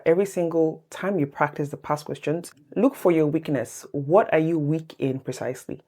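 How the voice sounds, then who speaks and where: A woman in her thirties telling her exam story to viewers in a chatty, animated way. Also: sits low. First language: English. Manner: animated, chatty, addresses viewers as guys, laughs easily. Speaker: vlogger sharing exam tips. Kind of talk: casual personal storytelling to camera.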